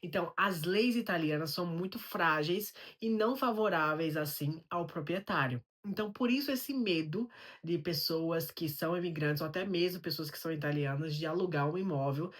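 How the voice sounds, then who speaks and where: A woman's voice: fairly low pitch, normal speed. A woman with a Brazilian Portuguese accent, telling a personal story to camera in a vlog and explaining things step by step, often asking a question and then answering it herself. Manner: explanatory, poses rhetorical questions and answers them. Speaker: vlogger.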